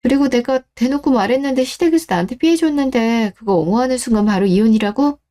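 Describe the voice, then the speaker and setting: A woman's voice: on the high side, fast talker, mellow, pitched above the performer's own voice, lightly acted. A woman playing a level-headed young bride-to-be in a scripted story-radio drama, composed, direct and matter-of-fact. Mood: resolute.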